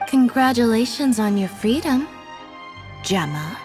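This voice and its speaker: An actress playing a poised, cool young magician's assistant in a video game, composed and understated. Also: female voice, fairly high pitch, darkish tone, heavily acted. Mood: mocking.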